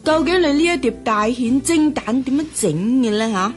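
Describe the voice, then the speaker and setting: A woman acting a devoted, chatty young best friend in a Cantonese radio drama, teasing in a husky voice with a Hong Kong accent. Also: sits high, expressive, moderate pace, faint music behind. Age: young adult.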